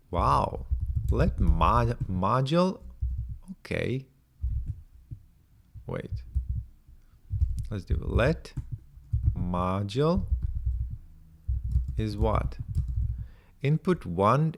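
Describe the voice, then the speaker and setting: A man's voice: mid-range voice, creaky. A man working through a coding tutorial by thinking aloud. He talks hesitantly, mutters to himself and trails off. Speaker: programming tutorial presenter.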